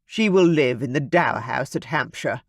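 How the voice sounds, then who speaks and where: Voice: medium pitch, animated, moderate pace, gravelly, dry recording, clean studio sound. A narrator voicing a duke who speaks coldly and dismissively to his wife. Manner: cold and dismissive. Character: cold, dismissive aristocratic man, a duke. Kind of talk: audiobook character voice.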